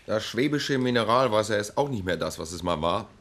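An actor playing a dry, grumbling junior police detective in a radio play. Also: male voice, on the low side, expressive, brisk, a bit bright, slight background noise.

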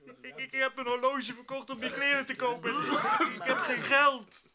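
A hapless, timid grape picker with an extremely high voice. He whines and stammers through a radio drama, clearly surrounded by background voices. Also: fairly even intonation, normal speed, over a noisy crowd. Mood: plaintive. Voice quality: very high-pitched. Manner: stammering, whining, repeats words.

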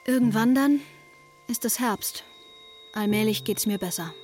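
A woman's voice: on the high side, measured pace, darkish tone, soft background music, lightly acted. An actress playing a restless, introspective woman in her twenties, narrating a radio drama as an intimate inner monologue. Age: 20s.

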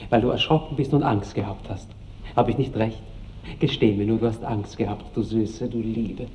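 A man's voice: lowish voice, fairly even intonation, moderate pace, darkish tone, natural voice. An actor playing an ardent, tender seventeen-year-old nobleman pleading with his lover in a classic radio drama. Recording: dull, faintly hissy old radio recording.

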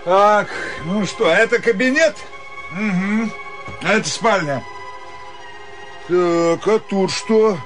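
A man voicing a fat, rude, middle-aged country doctor with a thin, sharp voice in a radio story. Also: high-pitched, slow pace, long pauses, soft background music, pitched above the performer's own voice. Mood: brusque, nosy. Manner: brusque, peremptory. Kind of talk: theatrical radio story reading.

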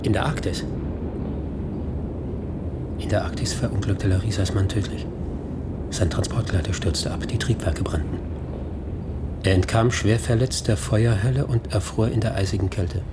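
A man's voice: lowish voice, normal speed, pauses often, natural voice. Guy playing haunted tired scientist on the run, grave and brooding, sci-fi radio play, lots of background noise. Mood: somber. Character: weary, haunted fugitive scientist, a grave man. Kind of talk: German science-fiction radio drama acting.

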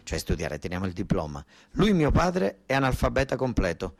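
Southern italian guy doing a theatre monologue, chatty emphatic storytelling, repeats himself for effect, medium pitch, dry recording. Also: wildly swooping pitch, normal speed, gravelly, no room sound. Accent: southern Italian, Basilicata. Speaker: monologue actor and narrator. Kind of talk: theatrical first-person storytelling.

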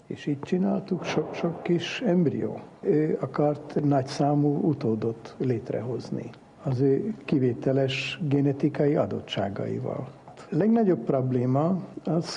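A man playing an earnest foreign geneticist in a mock interview, speaking broken Hungarian with a foreign accent, haltingly mixing in English terms. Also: mid-range voice, gravelly, warm tone, lightly acted. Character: earnest foreign cloning scientist from an island dictatorship, broken Hungarian. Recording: muffled lo-fi with faint background noise.

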